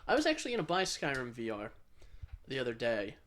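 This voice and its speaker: A man's voice: mid-range voice, wildly swooping pitch, fast, a bit bright. A man co-hosting a podcast in casual banter, rambling and swearing freely with a self-deprecating streak. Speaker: podcast co-host.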